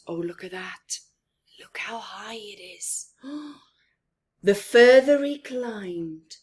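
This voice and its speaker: Female picture-book reader for young children: expressive and dramatic, breathy edge, lots of pitch movement, hushed asides. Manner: theatrical, emphatic, dropping into whispers; asks young listeners questions and comments on pictures. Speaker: storyteller for children.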